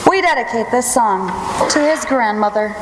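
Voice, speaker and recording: Female stage host, high register, deliberate scripted comedy delivery, reverberant hall. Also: expressive, very noisy. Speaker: host and comic monologist. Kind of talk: scripted comic storytelling to an audience. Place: live stage in a theatre. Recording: echoey live stage with music.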